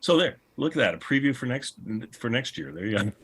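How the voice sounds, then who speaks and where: A man's voice: medium pitch, expressive, brisk, a bit bright, husky. A man hosting a casual online panel, talking in a chatty, informal, rambling way. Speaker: podcast host and panel moderator. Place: online video call panel.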